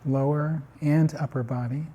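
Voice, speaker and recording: A man leads a guided meditation in a mellow, slightly rough voice. He takes his time, giving short, measured phrases and leaving long pauses between them. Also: fairly high pitch, steady tone, lo-fi sound. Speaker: meditation teacher.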